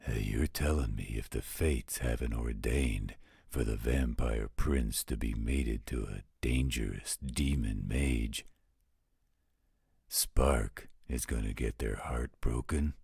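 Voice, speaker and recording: A gruff, overprotective werewolf guardian in an audio roleplay. His voice is extremely deep and heavy with gravel. He grumbles along slowly, close and dry. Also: a little mellow, dry recording, exaggerated voice. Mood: worried. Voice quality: gravelly, husky. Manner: slow, grumbling, protective. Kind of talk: roleplay audio drama acting.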